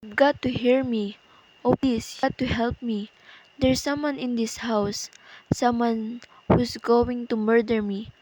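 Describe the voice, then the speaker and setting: A woman's voice: a little high, darkish tone, no room sound, rough recording. A woman playing a nervous, demanding, frightened invalid alone at home in a radio play, anxious and insistent. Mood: terrified, pleading.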